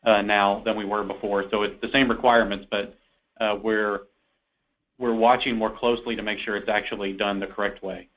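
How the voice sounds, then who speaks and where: A man's voice: on the low side, little pitch movement, moderate pace, a bit bright, poor audio. A man on a conference call, giving an unscripted technical explanation as a staff expert. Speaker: technical staffer answering a question. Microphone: telephone conference call.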